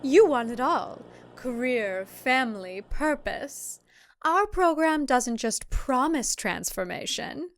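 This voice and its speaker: A woman voicing a chirpy, saccharine female cult leader delivering a promotional pitch in a slow, exaggerated manner. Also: lively intonation, no room sound, heavily acted. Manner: slow, exaggerated, sugary salesmanship.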